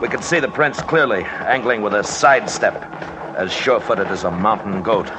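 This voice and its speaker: An actor playing a stubborn, haunted mountaineer in an old radio drama, speaking gravely and deliberately in clipped phrases. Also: male voice, on the low side, natural voice. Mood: grim, suspenseful. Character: stubborn, haunted mountaineer narrating his expedition, tough man. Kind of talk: 1940s-50s radio drama narration. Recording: noisy old radio recording.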